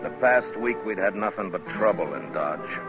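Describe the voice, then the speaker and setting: An actor playing a gruff, world-weary but fair frontier marshal who also narrates a 1950s radio western. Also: male voice, on the low side, expressive, moderate pace, over music, close to an everyday voice. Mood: weary narration. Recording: muffled old radio recording.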